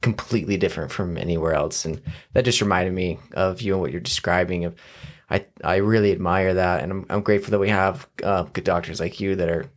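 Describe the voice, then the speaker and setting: Low voiced guy cohosting a podcast, earnest, a bit halting. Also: steady tone. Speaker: podcast co-host. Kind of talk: casual conversational interview.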